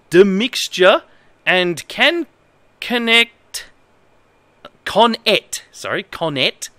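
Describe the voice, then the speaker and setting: A man's voice: sits high, sharp tone, no echo. An Australian man in his thirties, a streamer talking casually and reading aloud in an amused, emphatic way. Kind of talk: casual livestream, halting comedic read-aloud. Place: indoor room at a desk.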